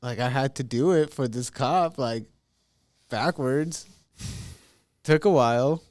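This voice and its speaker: A man's voice: mid-range voice, slow, a little mellow, no room sound, clean studio sound. A man co-hosting a podcast, chatting in an easygoing way. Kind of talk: casual chat.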